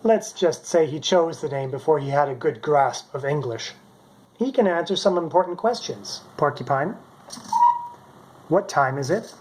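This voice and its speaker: A man's voice: a little high, animated, moderate pace, a little mellow, slight background noise, rough recording. A middle-aged man casually narrating a demonstration as a hobbyist maker. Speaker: maker presenting his homemade robot.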